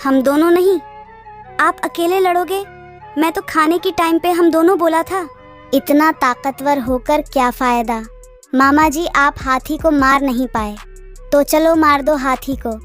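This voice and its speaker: A woman voicing a cunning male fox uncle who flatters and wheedles in a children's storybook tale. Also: high-pitched, a little slow, voice pushed up. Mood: goading. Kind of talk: children's moral storybook character voice. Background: children's music.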